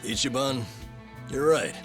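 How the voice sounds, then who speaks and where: An actor playing a hearty, encouraging middle-aged sidekick in a video game drama, casual and animated. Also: male voice, mid-range voice, a little slow, over music, lightly acted. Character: hearty, encouraging buddy of the hero.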